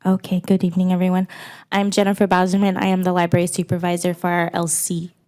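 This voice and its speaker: A woman's voice: on the low side, completely flat delivery, quick pace, warm tone, dry recording. A woman library supervisor with a scratchy voice, giving a report at a public meeting with frequent ums.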